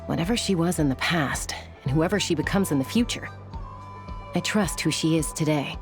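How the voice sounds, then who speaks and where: A woman's voice: deep voice, normal speed, lightly acted. A woman playing a cool, loyal young female scout in a video game, blunt, confident and sardonic. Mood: resolute. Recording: music under the dialogue.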